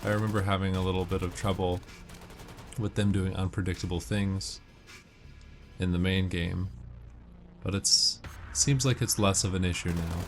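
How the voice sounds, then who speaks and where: A man's voice: sits low, steady tone, moderate pace, pauses often, faint music behind. A man giving casual, matter-of-fact gameplay commentary, explaining tactics as he goes. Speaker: gamer giving walkthrough tips. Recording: noisy, game gunfire behind voice. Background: video game gunfire and ambience.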